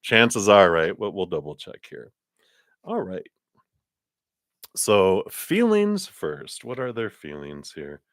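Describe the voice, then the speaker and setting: A man's voice: low voice, very animated, slow, pauses often, fairly bright tone, no room sound, clean studio sound. A man hosting a live tarot reading stream, chatting in a rambling, casual way and laughing often, with a tickle in his throat. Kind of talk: casual livestream chat and card reading.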